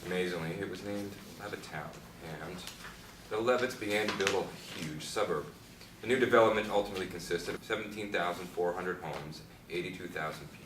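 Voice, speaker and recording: A man in a stage play reading, playing an aging, pedantic Beat architect who tells stories in a measured, lecturing way. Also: deep voice, lightly acted. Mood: matter-of-fact. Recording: echoey, noisy room from far off.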